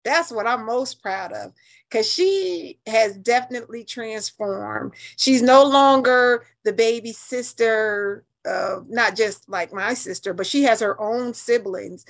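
A middle-aged American woman chats as a guest in an interview. Her voice sits fairly high, and she speaks in an emphatic, outspoken way with plenty of colloquial phrasing. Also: slow pace, gravelly. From New Haven, Connecticut, US. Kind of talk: casual conversational interview.

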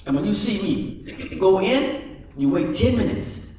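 A man voicing a gentle, clever, well-off mother who speaks politely. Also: high voice, animated, a little slow. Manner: soft, polite. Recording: echoey room from far off, faint music.

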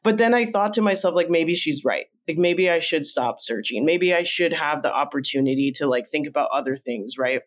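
A man originally from Colombia, a podcast guest, telling his story in a loose, meandering conversational way and jumping up in pitch when he acts out remembered lines. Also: a little fast, hardly any pauses. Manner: animated, jumps up in pitch when acting out remembered quotes; loose and meandering, with frequent fillers and restarts.

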